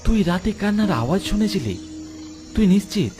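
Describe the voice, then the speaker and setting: Animated, high-pitched brave young friend played by a man. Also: normal speed, over music. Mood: concerned. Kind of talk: animated horror story acting.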